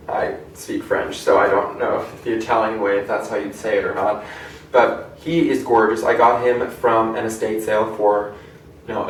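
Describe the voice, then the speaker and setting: A man's voice: mid-range voice, a little hiss or hum. A young American man presenting a collection in a casual, chatty, enthusiastic and rambling vlog style. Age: young adult. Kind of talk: casual show-and-tell vlog. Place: indoor room at home. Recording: echoey room, distant microphone.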